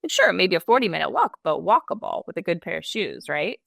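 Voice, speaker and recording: A woman's voice: on the low side, very animated, brisk, gravelly, a bit bright, no echo, studio quality. A woman hosting an interview in a chatty, enthusiastic way. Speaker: host. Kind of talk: interview chat.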